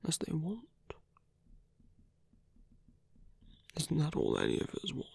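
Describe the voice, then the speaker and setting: A man playing a seductive, calm villain leader in an ASMR roleplay, breathy and intimate as he slowly coaxes a captive hero. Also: a little high, no room sound, full character voice. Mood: tender, persuasive. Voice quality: breathy, husky, often whispering. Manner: slow, intimate, persuasive. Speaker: voice actor. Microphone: close, dry microphone.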